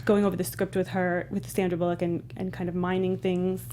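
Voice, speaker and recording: A woman's voice: fairly low pitch, level tone, brisk, dark tone, a little hiss or hum. A woman hosting an interview, asking casual questions and giving short replies and easy laughs. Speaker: interview host.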